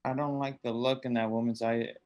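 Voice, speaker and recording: A man's voice: mid-range voice, flat delivery, fairly quick, a little mellow, close to an everyday voice. A man playing a wry, wisecracking, resigned husband in a radio drama, with a sardonic, joking delivery. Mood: teasing.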